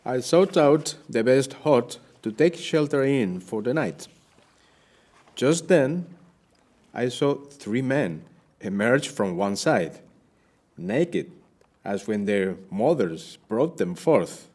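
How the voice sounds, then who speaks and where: A man plays a devout, shipwrecked Spanish captain in an audio play. He speaks slowly and dramatically, with lively rises and falls, formal and weary. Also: mid-range voice. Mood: earnest, devout. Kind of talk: audio play monologue and dialogue.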